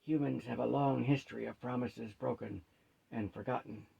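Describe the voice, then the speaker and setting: A weary old dwarf priest from a fantasy tale , read by a man, skeptical and sober. His voice is husky and gravelly, on a slightly dull recording. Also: medium pitch, steady tone, moderate pace, dark tone, exaggerated voice. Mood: distrustful.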